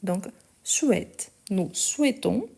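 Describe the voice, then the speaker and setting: A woman teaching a live online French lesson, speaking slowly and carefully, repeating each sentence and spelling out the verb endings. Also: mid-range voice, expressive, vocal fry, darkish tone, breathy edge.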